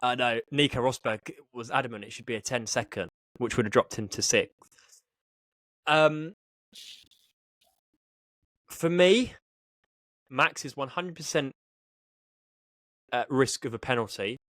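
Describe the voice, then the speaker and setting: A man talks through sport in a casual, animated podcast style. His pitch sits in the middle for a male voice and he leans on words for emphasis. The sound is dry, with very little room. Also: unhurried, pauses often, no room sound. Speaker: motorsport podcast co-host.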